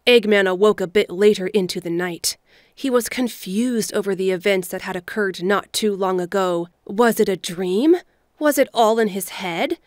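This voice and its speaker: A woman reading scripted story narration in a measured, storybook delivery, as the narrator of an audio drama. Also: mid-range voice, no echo, studio quality. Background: music.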